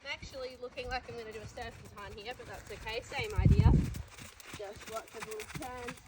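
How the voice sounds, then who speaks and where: A woman's voice: a little high, fairly quick, heavy background noise. A woman with a congested voice, explaining her training to viewers while she rides. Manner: talking to viewers while riding. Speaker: riding instructor. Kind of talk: coaching while riding.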